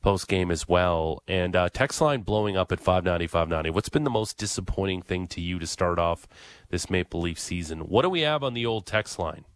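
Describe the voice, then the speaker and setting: A man hosts a sports talk radio show in a studio. His voice sits a little low and sounds dry and close on the mic. He talks casually and gives strong opinions, with plenty of fillers and restarts. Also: expressive, brisk, dry recording.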